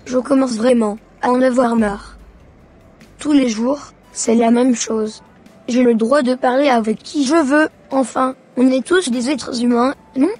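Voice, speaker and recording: A woman's voice: on the high side, steady tone, measured pace, a little mellow, husky, faint music behind. A woman voicing an earnest, fed-up schoolboy son in a cartoon, with plain scripted delivery. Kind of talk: animated cartoon dialogue.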